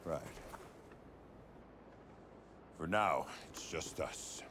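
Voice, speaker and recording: An actor playing a gruff, terse middle-aged special agent with a deep, gravelly voice in a video game cutscene. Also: male voice, unhurried. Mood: terse, guarded. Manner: terse, commanding. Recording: loud hiss and rain noise.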